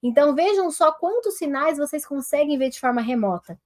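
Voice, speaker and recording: A Brazilian woman doctor gives an online lesson, her voice pitched high and a little nasal from a head cold. She talks straight to her viewers in a lively, hands-on manner while demonstrating on herself. The sound comes through a simple webcam. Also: a little fast. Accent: Brazilian Portuguese. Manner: lively, addresses viewers directly as a group. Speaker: doctor teaching telemedicine.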